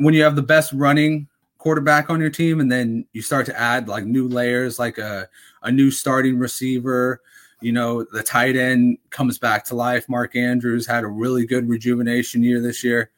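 A young man hosting a casual fantasy football talk, rambling with lots of fillers, his voice going a little raspy. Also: mid-range voice, steady tone, unhurried, a bit bright. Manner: rambling, full of fillers and restarts. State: slightly raspy voice. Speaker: fantasy football podcast host.

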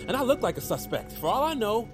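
An anxious, restless American slacker of thirty in an audio drama. His voice sits a little high, with a rambling, apologetic delivery. Music plays clearly underneath. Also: very animated, quick pace. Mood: panicked. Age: 30s. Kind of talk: naturalistic audio fiction dialogue.

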